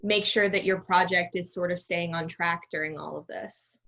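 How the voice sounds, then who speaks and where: A woman's voice: fairly low pitch, fairly even intonation, moderate pace, gravelly, sharp tone. A young adult woman hosting a casual interview over a video call, asking questions as a student interviewer.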